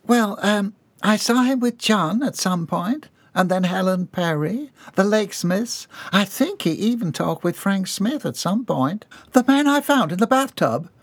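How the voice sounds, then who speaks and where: A hesitant, chatty young woman, played by a male narrator. Her voice runs high and light, pausing on ums as she recalls. Dry recording. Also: lively intonation, no room sound, heavily acted. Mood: recalling. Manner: hesitant, with fillers.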